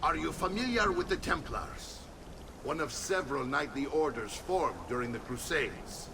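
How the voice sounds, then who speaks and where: Gravelly Italian mentor, commanding. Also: male voice, fairly high pitch, expressive, moderate pace, far from the mic, noisy background. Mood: instructive. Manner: authoritative, instructive, theatrical. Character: commanding older Italian uncle, assassin mentor and war leader. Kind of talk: dramatic video game acting.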